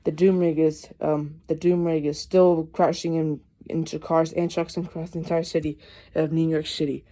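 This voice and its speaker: A speaker reading a mock emergency alert broadcast as the announcer, in an amateur and somewhat halting way. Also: mid-range voice, level tone, mellow.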